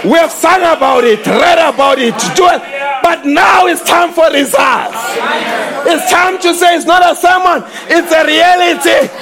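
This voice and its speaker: High-voiced shouting preacher. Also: male voice, measured pace, vocal fry, crowd noise. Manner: shouting, emphatic, repeats slogans. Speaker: pastor. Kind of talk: fiery preaching sermon. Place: church service with congregation. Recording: congregation noise behind.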